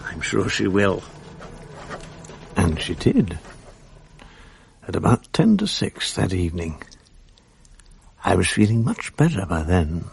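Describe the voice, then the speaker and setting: An actor playing a bitter, sardonic middle-aged husband with a British accent in a radio drama, his voice husky. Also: low voice, big pitch swings, takes it slowly, pauses often, darkish tone, heavily acted. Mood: dry, weary, then dry narration. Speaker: lead actor and narrator.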